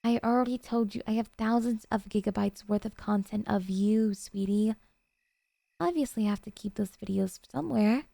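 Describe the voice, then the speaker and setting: A woman acts out a doting yandere girlfriend for an ASMR roleplay, with a dark, mellow tone and a touch of creak. She speaks softly and intimately, sweet yet possessive and obsessive, in a very dry, close recording. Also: mid-range voice, normal speed, no room sound.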